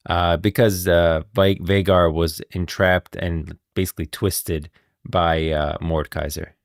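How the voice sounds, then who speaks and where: Male streamer, low register, dry recording, casual and explanatory, thinking aloud. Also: flat delivery, unhurried, no echo. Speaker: streamer, game lore commentator. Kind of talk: casual live commentary.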